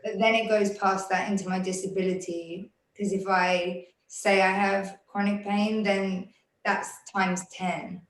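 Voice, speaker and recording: Woman artist on a panel, monotone and steady, echoey room. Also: mid-range voice, a little slow. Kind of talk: panel discussion at a live event.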